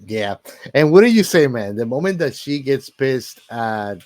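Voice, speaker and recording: Male podcast co-host, average pitch, very dry sound; informal, blunt, slangy movie chat. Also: very animated, a little slow, a little mellow, no room sound. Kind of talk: casual movie discussion.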